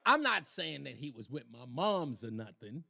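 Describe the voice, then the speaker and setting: A man's voice: mid-range voice, sing-song, moderate pace, vocal fry, sharp tone, no room sound. A man hosting a radio talk show, chatting casually and joking with a loose, laughing manner. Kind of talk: casual on-air chat.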